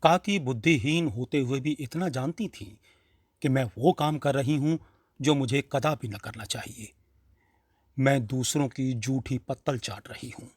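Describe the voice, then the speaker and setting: A man narrating a literary story in a measured, expressive, dramatic reading style. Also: mid-range voice, slightly rough, no echo, studio quality.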